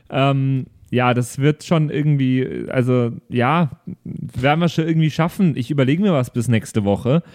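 A male podcast host in casual, improvised chat. His voice sits at a middle pitch, and he talks in a hesitant, rambling manner, as if thinking out loud. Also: a little slow. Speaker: host of a pen-and-paper audio play podcast.

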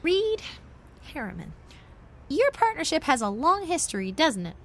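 A woman's voice: high voice, big pitch swings, normal speed. A woman playing a plucky, inquisitive young amateur sleuth with a wry, eager delivery, in old-time radio mystery comedy style. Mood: probing.

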